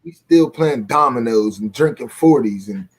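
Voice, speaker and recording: A man's voice: medium pitch, expressive, moderate pace, slightly rough. A man in a casual livestream discussion, talking in an opinionated, colloquial and emphatic way, repeating phrases to press his points. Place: remote video call.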